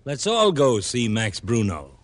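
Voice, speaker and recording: Terse American police lieutenant. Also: male voice, medium pitch, sing-song, measured pace, music in the background. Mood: firm. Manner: terse, authoritative, dry. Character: dry, no-nonsense male police lieutenant. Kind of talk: old-time American radio detective acting.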